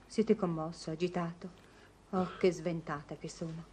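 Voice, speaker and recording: A woman's voice: lowish voice, fairly even intonation, normal speed, mellow, husky. An actress playing a worldly, kind-hearted, teasing lady in a theatrical vintage Italian radio comedy. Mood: gentle, regretful. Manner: theatrical, playful.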